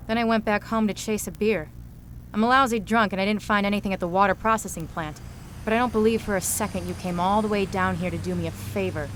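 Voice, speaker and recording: A woman's voice: mid-range voice, fairly even intonation, fast talker, a little hiss or hum, natural voice. A woman playing a hard-boiled, world-weary private detective in a noir audio drama, sardonic and wisecracking. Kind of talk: noir audio drama dialogue and first-person narration.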